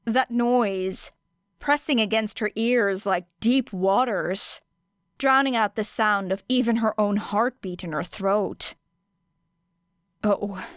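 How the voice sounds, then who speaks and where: A woman reading a story as an audiobook narrator, with expressive, dramatic phrasing and deliberate pauses. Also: mid-range voice, clear, resonant tone, no echo, studio quality. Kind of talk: expressive scripted fiction narration.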